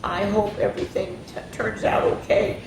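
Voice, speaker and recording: A woman voicing an earnest young male storybook owl who likes to sound wise, slowing into sing-song advice. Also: medium pitch, steady tone, pitched above the performer's own voice, close to an everyday voice. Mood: worried. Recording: roomy echo with faint noise.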